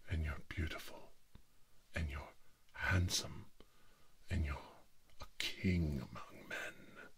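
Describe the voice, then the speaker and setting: A middle-aged mad scientist played by a man whispers in a low voice, unhurried and obsessive. He repeats his words haltingly, full of grandiose self-adoration, in an intimate ASMR character monologue. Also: fairly bright tone. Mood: smug self-adoration.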